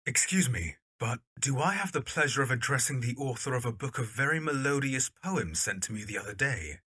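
Man acting Christopher, an animated, earnest young gentleman. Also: medium pitch, a little fast, slightly rough, no echo, clean studio sound. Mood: courteous, teasing. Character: Christopher, earnest, polite young Victorian music teacher. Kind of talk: audio drama dialogue.

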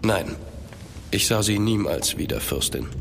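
Low, gravelly aristocratic storyteller. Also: male voice, animated, unhurried, fairly bright tone, a little hiss or hum, exaggerated voice. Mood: wistful. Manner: formal, melancholic. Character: grave, weary aristocratic French diplomat recounting his past. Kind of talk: scripted first-person narration in audio drama.

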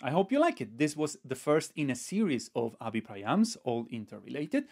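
A man in his fifties giving a methodical, step-by-step lesson to camera as a music teacher, pausing as he explains. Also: medium pitch, sing-song, gravelly, darkish tone. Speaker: music teacher, online video host.

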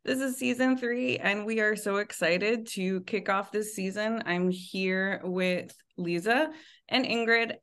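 A woman's voice: mid-range voice, measured pace, fairly bright tone. A woman hosting a podcast and interviewing a guest. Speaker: podcast host.

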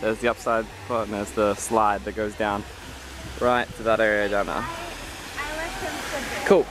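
A man's voice: lowish voice, little pitch movement, a little slow, noisy background. A young adult man chatting casually as a travel vlogger, talking into a handheld camera. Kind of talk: casual holiday vlog chat. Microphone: handheld camera at arm's length. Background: loud music.

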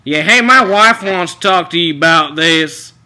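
A man's voice: a little high, normal speed, bright, forceful tone. A young man making a prank phone call in a casual, conversational way. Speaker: prank caller. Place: room at home.

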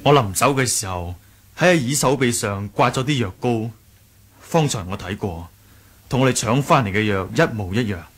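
An actor playing an earnest, hot-headed young male martial arts sect leader in a radio drama, with a husky voice. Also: medium pitch, lively intonation, moderate pace, a bit bright. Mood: calm, concerned. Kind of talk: Cantonese radio drama acting.

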